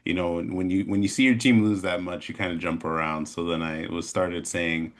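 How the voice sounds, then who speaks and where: A man with an American accent chatting casually as an interview guest, in a rambling, halting way. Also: low voice, steady tone. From Chicago, American Midwest. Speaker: interview guest, animation studio founder. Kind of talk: casual chat, telling his story.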